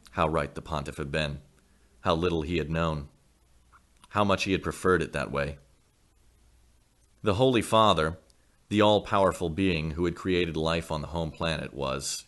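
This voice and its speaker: A man's voice: extremely low pitch, a bit bright. A man reading a science fiction story as dramatic scripted narration. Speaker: story reader.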